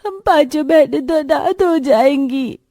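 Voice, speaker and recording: A woman's voice: high-pitched, a little slow, dark tone, no room sound, clean studio sound, pitched above the performer's own voice. A woman voicing a young village girl with a whining, emotional manner in a cartoon story. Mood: tearful.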